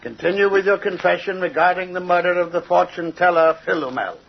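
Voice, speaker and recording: An actor playing a formal courtroom judge in an old radio drama, speaking in a measured, official manner. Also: male voice, high voice, creaky, a little hiss or hum. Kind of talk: vintage radio drama acting. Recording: muffled old radio recording.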